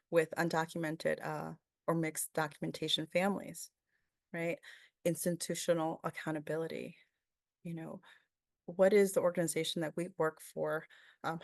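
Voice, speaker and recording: A woman's voice: sits low, creaky, dry recording, studio quality. A woman from Peru giving a webinar presentation, thoughtful and full of fillers, often asking "right?".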